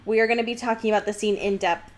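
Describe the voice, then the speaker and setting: A woman's voice: on the high side, fairly even intonation, very fast. A woman co-hosting a podcast, discussing a book in a casual, slangy, emphatic way with exasperated asides. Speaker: podcast co-host.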